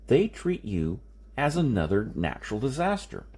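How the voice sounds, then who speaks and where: A man's voice: mid-range voice, wildly swooping pitch, moderate pace, a little mellow, slightly rough. A man voicing an insistent, reasoning science fiction hero who lectures emphatically in audiobook dialogue. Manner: emphatic, explanatory.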